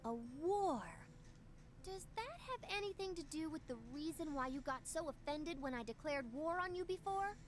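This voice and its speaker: A woman's voice: very high-pitched, lively intonation, moderate pace. A woman voicing a pushy, sassy teenage girl in an anime-style video game, flippant and insistent, over music. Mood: insistent, probing. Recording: loud game music underneath.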